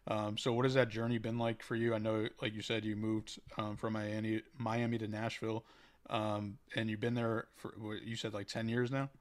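Podcast host guy, pretty monotone, casual and kinda halting. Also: fairly low pitch. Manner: casual, halting, with fillers and restarts. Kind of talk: casual interview chat.

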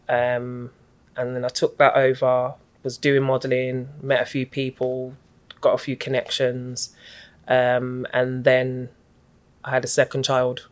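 A middle-aged woman, a guest in an interview, recounting her story in a reflective, even-toned, unhurried way. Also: rumbling low. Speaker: guest, community activist.

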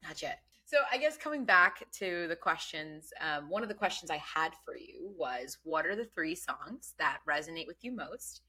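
A woman's voice: sits low, lively intonation, normal speed, few pauses, slightly rough. A woman from Bangladesh, not an English speaker, hosting a podcast interview and asking questions with many ums and restarts. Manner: warm curious questioning with many fillers. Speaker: podcast host. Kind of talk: casual interview.

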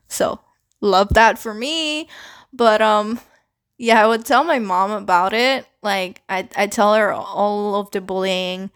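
Chatty young woman, Canadian-sounding English. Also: medium pitch. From Philippines. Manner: chatty, many "like" and "you know" fillers, swears casually. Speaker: podcast host. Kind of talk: casual solo podcast monologue.

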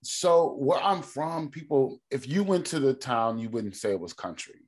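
A man from Texas with a deep, mid-pitched voice talks about his life as a guest on a podcast. He is reflective and thinks aloud, pausing on ums and restarting his sentences as he goes. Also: very animated, a little mellow. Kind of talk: reflective conversational storytelling.

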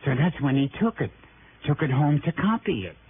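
A man's voice: a little high, sing-song, brisk. A man playing a sly, grasping small-time informer, streetwise and wheedling, in an early 1960s radio drama. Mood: confiding. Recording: hissy, muffled old radio broadcast.

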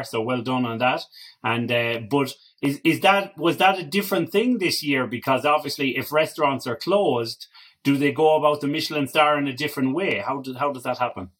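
Irish guy interviewer, medium pitch voice, informal n chatty, encouraging. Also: a bit bright. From Ireland. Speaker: interviewer, host. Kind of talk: casual chat interview.

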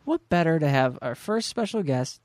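A man's voice: on the high side, expressive, normal speed, darkish tone, no room sound. A man in his twenties co-hosting a podcast, teasing and joking in casual chat, swearing freely. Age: 20s. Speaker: podcast co-host.

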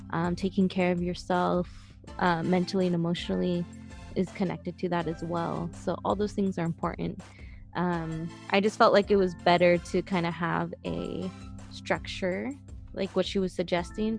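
Thirty-something woman, kinda low and gravelly, chatting thoughtfully, soft music under. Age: 30s. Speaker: podcast guest, author. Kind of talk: casual chat.

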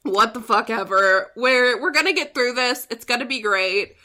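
A young woman co-hosts a casual book podcast in a bright, fairly high voice. She rambles and laughs her way through the chat, still drowsy from an early start. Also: moderate pace. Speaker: podcast co-host.

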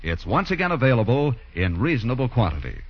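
A man's voice: medium pitch, big pitch swings, quick pace, husky. An American man reading scripted announcements in the polished style of a 1940s radio announcer. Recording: muffled 1940s radio recording. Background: music.

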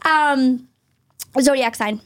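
A woman's voice: high-pitched, extremely slow, fairly bright tone, no echo, clean studio sound. A woman hosting a podcast, chatting in a bubbly, enthusiastic way with her guest. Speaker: podcast host. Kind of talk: casual interview chat.

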